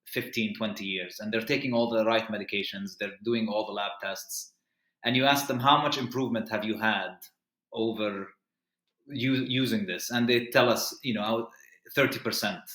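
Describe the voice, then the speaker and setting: A man's voice: on the low side, steady tone, moderate pace, a bit bright. A man who grew up in the Middle East talks as a physician guest on a podcast. He explains things earnestly and steadily, often stopping to restart a phrase. The room around his voice has a noticeable echo. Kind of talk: podcast interview.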